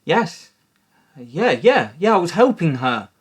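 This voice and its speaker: A man's voice: fairly high pitch, sing-song, slow pace, breathy edge, full character voice. A young man acting a scene, awkwardly confessing an affair, mumbling and evasive. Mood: sheepish. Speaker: drama graduate performing a scene.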